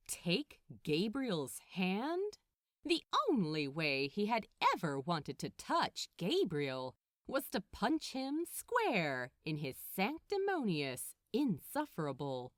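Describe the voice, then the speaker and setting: A woman narrating a written story in an expressive audiobook style. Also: fairly low pitch, slow pace, no echo, clean studio sound. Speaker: narrator of a fan fiction audiobook.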